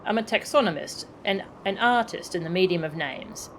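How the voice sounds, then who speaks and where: A woman's voice: medium pitch, expressive, moderate pace, slight background noise, natural voice. Florid, courteous game taxonomist, voiced by a woman. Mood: proud. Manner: formal, wordy, theatrical phrasing. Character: courteous, florid taxonomist proud of poetic names. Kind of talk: video game dialogue read aloud. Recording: game music behind the voice.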